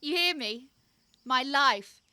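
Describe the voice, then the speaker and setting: Actress as bright, eager young noblewoman, high voice. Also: lively intonation, slow, exaggerated voice. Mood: tender, concerned. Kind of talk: audio drama acting.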